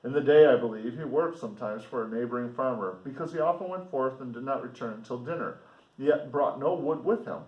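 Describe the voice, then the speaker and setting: A man reading a novel aloud as a teacher, in a deliberate, explanatory manner with casual asides. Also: medium pitch, dull audio. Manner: deliberate, explanatory, pausing to comment for students. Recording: roomy echo, distant microphone.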